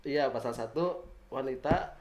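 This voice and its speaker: A man's voice: mid-range voice, measured pace, very noisy. Joking Jakarta man in an echoey room. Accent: Jakarta Indonesian. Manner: slangy, joking. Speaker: guest answering questions. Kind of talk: casual comedic chat. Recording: roomy, echoey indoor sound.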